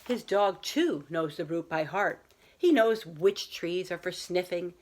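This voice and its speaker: A woman's voice: on the low side, unhurried. A woman reading a picture book aloud as a school librarian, talking to young children in simple, explanatory phrasing.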